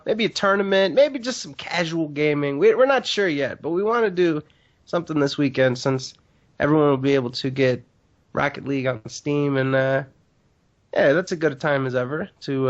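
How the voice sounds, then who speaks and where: A man's voice: fairly high pitch, muffled recording. Congested man rambling on a podcast. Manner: rambling, joking, many fillers. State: congested from allergies. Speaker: podcast co-host. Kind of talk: casual podcast chat.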